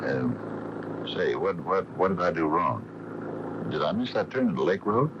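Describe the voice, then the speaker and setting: A man's voice: deep voice, big pitch swings, a little slow. An actor playing an indignant, stubborn small-town man in a radio mystery drama, speaking emphatically with folksy phrasing. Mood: flustered, irritable. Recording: muffled, noisy 1980 AM radio broadcast.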